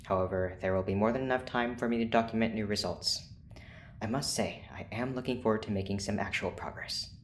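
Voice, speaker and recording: An actor playing a formal, clinical research doctor in an audio drama, speaking in a precise, measured way. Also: medium pitch, slight background noise, close to an everyday voice. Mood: clinical, cautiously optimistic. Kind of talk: scripted audio drama acting.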